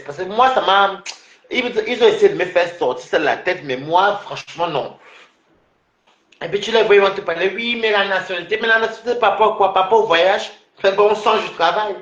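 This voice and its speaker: A man's voice: sits high, expressive, a little fast, fairly bright tone, roomy sound, dull audio. A man from Cameroon in a casual, rambling personal monologue, full of restarts and fillers. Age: adult.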